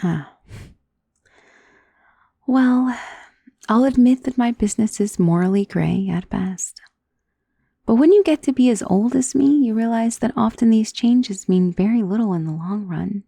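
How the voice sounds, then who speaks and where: A woman playing a centuries-old, wealthy vampire in an ASMR roleplay, doting and possessive, speaking in a soft, intimate, genteel and commanding manner. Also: a little high, gravelly, husky, dry recording, clean studio sound, full character voice. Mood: calm, assured. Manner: soft, intimate, genteel, unhurried endearments, often dropping into whisper. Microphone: close microphone in a dry space.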